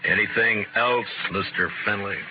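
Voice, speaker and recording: A low, terse American frontier marshal played by a male actor in a 1950s radio Western. He is stern and dryly sardonic, and will not be bought. The old recording is dull and buried in heavy noise. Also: fairly even intonation, normal speed, close to an everyday voice. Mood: wary, sardonic. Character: stern, unbribable frontier marshal, grown man.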